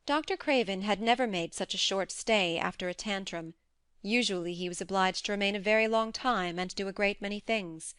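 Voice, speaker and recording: A woman's voice: medium pitch, brisk, a bit bright, clean tone, no room sound. A woman reading scripted audiobook narration as the narrator of a classic story.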